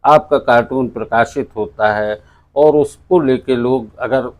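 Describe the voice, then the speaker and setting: A man with a medium-pitched voice conducts an interview. His style is conversational, and he comments at some length as he builds toward his questions. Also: measured pace.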